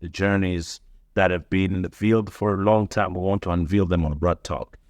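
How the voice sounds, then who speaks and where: A low-voiced man hosts an interview show in a friendly, upbeat manner and laughs easily. He asks long, searching questions. The sound is dry and close, with no room echo. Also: fairly even intonation, moderate pace, darkish tone. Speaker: host. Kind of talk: casual interview hosting.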